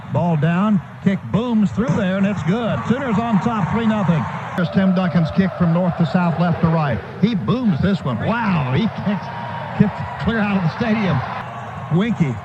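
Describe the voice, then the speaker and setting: An American man calling a live football game on the radio in clipped, urgent, staccato phrases. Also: sits high. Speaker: radio play-by-play announcer. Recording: noisy, dull lo-fi radio broadcast.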